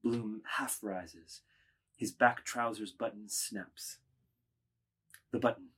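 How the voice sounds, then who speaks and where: A man reading stage directions as a narrator, with a measured, literary delivery. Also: on the low side, steady tone, fairly bright tone, breathy edge.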